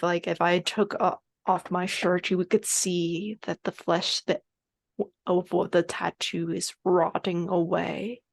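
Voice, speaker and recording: Creaky-voiced, slow-talking woman player. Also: on the low side, dry recording. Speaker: tabletop game player. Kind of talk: improvised descriptive roleplay.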